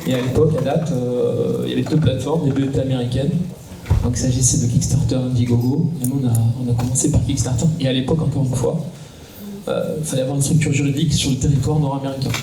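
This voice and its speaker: A man's voice: medium pitch, flat delivery, quick pace, creaky. A man in his forties from southern France, a startup cofounder explaining his company on a conference panel, with many hesitant fillers. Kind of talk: round-table conversation. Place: conference hall. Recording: echoey hall, distant mic, faint noise.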